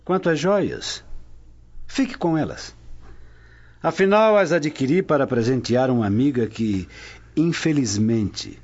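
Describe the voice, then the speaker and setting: A cold, haughty Roman prefect in a radio drama, an evasive husband with a husky voice. His delivery swings widely in pitch, and the recording is a little dull. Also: lively intonation, a little slow, long pauses, darkish tone. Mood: cold, scornful. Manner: stern, haughty.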